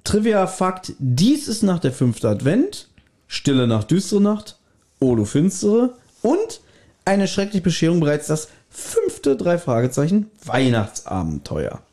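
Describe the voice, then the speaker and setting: Higher-pitched mellow man chatting casually. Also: wildly swooping pitch, unhurried. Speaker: podcast host. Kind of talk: casual podcast chat.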